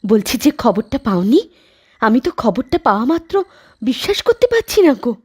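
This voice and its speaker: Woman acting a high-pitched anxious village wife. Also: big pitch swings, moderate pace, darkish tone, husky. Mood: shocked, hushed gossip. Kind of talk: cartoon voice acting.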